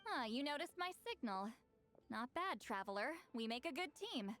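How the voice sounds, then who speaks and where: A woman voicing a sharp-witted, smug young female scholar in a video game, wry and dryly teasing. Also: high voice, big pitch swings, normal speed, soft background music. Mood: smug, mocking. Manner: wry, self-assured.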